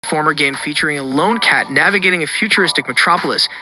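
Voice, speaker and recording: A man's voice: a little high, fast talker, rough recording. A man reading scripted entertainment news as a video narrator. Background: music behind the voice.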